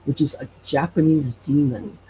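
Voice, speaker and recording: A man telling personal stories conversationally as a podcast guest, hesitant and often pausing mid-phrase. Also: fairly high pitch. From Hawaii. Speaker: podcast guest, paranormal storyteller and investigator. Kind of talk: conversational personal storytelling in an interview. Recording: muffled lo-fi with faint background noise.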